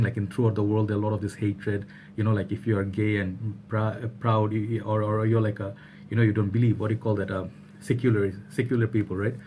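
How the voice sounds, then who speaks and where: A man's voice: fairly low pitch, a little mellow, a little hiss or hum. A young man from Bangladesh talking casually to camera in a vlog, rambling with many fillers and restarts. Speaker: vlogger.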